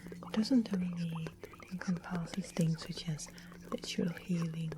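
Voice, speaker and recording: Witch for fantasy ASMR woman acting: low breathy whisper, genteel and sweetly sinister, over clear background ambience. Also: unhurried, background noise, close to an everyday voice. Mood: pleased, musing. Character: sweet-smiling innocent-seeming witch, gently sinister potion maker. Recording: steady ambient sound and music behind. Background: music and ambience.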